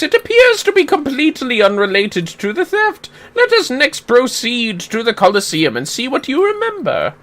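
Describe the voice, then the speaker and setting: A performer voicing a pompous, theatrical gentleman inspector with grand, archaic, florid delivery in a video game read-aloud. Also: high-pitched, voice pushed up, full character voice.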